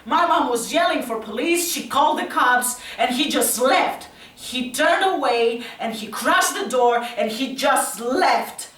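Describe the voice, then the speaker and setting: A woman acting a tense, troubled youth haunted by violent parents in a halting, intense stage monologue. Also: medium pitch. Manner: slow, halting, intense, from hushed to loud. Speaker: actress performing a solo monologue. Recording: roomy, distant mic, faint noise.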